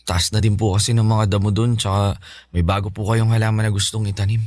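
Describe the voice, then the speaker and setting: A man's voice: fairly low pitch, flat delivery, quick pace, a little mellow, dry recording, studio quality, close to an everyday voice. A man playing a respectful, playful, sickly teenage son in a Filipino radio drama. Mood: polite, eager. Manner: respectful, boyish, pleading.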